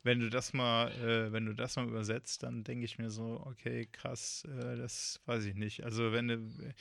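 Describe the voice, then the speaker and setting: A man hosting a loose, joking podcast chat, wandering from thought to thought with plenty of ums. His voice has a gravelly edge, and the recording is close and dry with no room sound. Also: mid-range voice, level tone, moderate pace, a bit bright, dry recording. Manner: rambling, joking, full of fillers. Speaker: podcast host. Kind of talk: casual chat.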